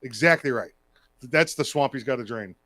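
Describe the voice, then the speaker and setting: An American man co-hosting a political talk show, chatting casually in a halting, repetitive way. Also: mid-range voice, bright, forceful tone, dry recording. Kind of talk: casual political commentary chat.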